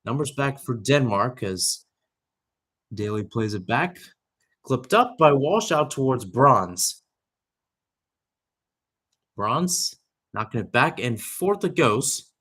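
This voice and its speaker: A man's voice: mid-range voice, animated, slow pace, pauses often, a bit bright, husky. A young man doing live radio-style soccer play-by-play, with conversational analysis that wanders and corrects itself. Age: young adult.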